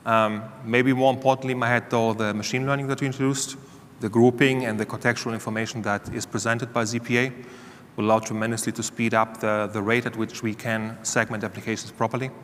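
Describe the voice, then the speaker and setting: A man's voice: mid-range voice, level tone, brisk, a bit bright, a little hiss or hum. A man speaking as a panelist on a conference stage, candid and conversational, on a lapel mic. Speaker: panelist, security leader. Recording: reverberant hall, faint ambience.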